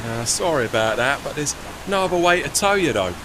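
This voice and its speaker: Man playing a chirpy, animated working tugboat. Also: a little high, a little fast, noisy background, close to an everyday voice. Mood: cheery. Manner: colloquial, matey working-class speech. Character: chirpy, plain-spoken working steam tugboat, deferential but cheeky. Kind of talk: audio play acting.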